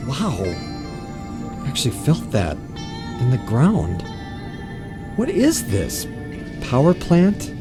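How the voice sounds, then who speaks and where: An actor playing a wary, stranded motorcyclist with a hesitant, colloquial way of talking in an audio drama. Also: male voice, mid-range voice, huge pitch swings, takes it slowly, long pauses, over music, exaggerated voice. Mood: agitated, muttering. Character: wary, stranded male motorcyclist, an air-conditioner repairman. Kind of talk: full-cast audio drama acting.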